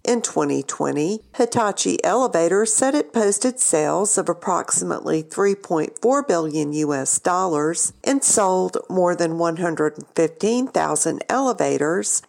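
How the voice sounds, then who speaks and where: A woman's voice: lowish voice, creaky, darkish tone. A woman presenting scripted industry news on a podcast, reading as a narrator.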